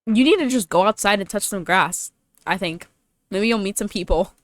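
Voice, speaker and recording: A woman's voice: mid-range voice, moderate pace, no echo, studio quality. A young woman doing casual, slangy game commentary with a playful, teasing manner. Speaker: streamer playing a story game. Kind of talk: casual game commentary, with dialogue read aloud.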